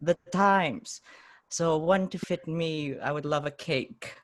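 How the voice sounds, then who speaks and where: A woman's voice: sits low, slow pace. A woman playing a polite, slightly out-of-touch shopper in an improvised comedy scene, speaking tentatively.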